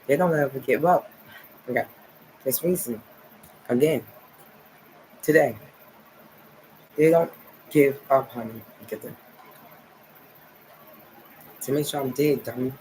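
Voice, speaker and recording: Man, 40s, from New Jersey; repetitive, rambling solo vlog, mid-pitched voice over faint background noise. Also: slow pace, long pauses, darkish tone, rough recording. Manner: rambling, repetitive, filler "okay" and "nice". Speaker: vlogger. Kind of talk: rambling monologue to camera.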